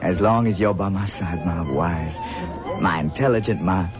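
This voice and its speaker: A man's voice: low-pitched, moderate pace, music in the background, close to an everyday voice. An actor playing a torn young man in his twenties, speaking earnestly and anxiously, on a lo-fi 1970s radio drama recording. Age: 20s.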